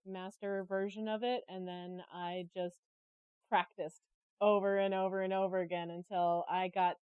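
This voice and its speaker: A woman's voice: mid-range voice, clear, resonant tone, dry recording, lo-fi sound. A woman chatting casually as an interview guest, telling anecdotes and often giggly as she talks. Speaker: interview guest, creative director and artist.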